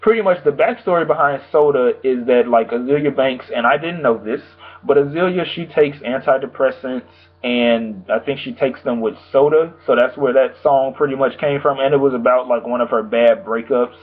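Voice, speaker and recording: Young man with a hoarse, tinny voice. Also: medium pitch, moderate pace, hardly any pauses. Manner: rambling, loose, full of "like" and "you know". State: slightly hoarse, recovering from a sore throat. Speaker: music reviewer. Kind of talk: casual album review vlog. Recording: tinny phone-like sound.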